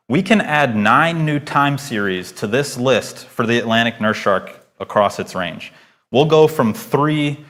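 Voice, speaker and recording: A man's voice: mid-range voice, a bit bright. A man in his thirties giving an earnest, emphatic research presentation to a live audience, speaking into a microphone. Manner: earnest, emphatic lecturing with rising stresses. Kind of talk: conference talk with Q&A. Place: lecture hall before an audience.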